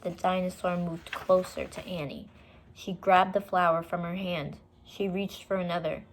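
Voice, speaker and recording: A woman reading a children's story aloud, with expressive, deliberate and dramatic narration. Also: on the low side, slight background noise, poor audio.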